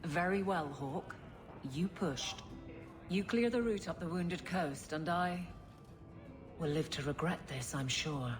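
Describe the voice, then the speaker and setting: A woman's voice: on the low side, slow. A woman voicing a stern, blunt female guard captain who is awkward about romance. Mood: flustered. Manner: blunt, self-critical. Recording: game cutscene with music behind.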